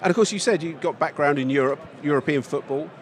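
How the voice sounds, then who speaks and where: A man's voice: a little high, quick pace. A man interviewing a guest in a casual, friendly way, often ending his questions with "isn't it?", recorded outdoors at a trade event.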